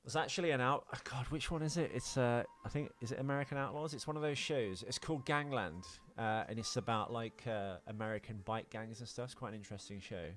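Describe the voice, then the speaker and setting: A man's voice: mid-range voice, fast, hardly any pauses. A British man chatting casually as a podcast co-host, working through film ideas in rambling, speculative explanations.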